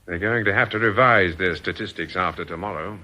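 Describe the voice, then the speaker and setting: An actor in a radio drama playing a calm, calculating gang boss with a precise, self-assured manner. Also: male voice, low voice, a little fast. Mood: assured, persuasive.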